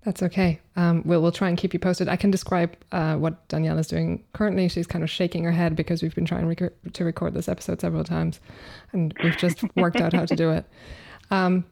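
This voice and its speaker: A woman's voice: lowish voice, level tone, brisk, gravelly, few pauses, darkish tone. A woman hosting a podcast, welcoming her guests and teasing them wryly in casual chat. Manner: wry, teasing. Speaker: podcast host.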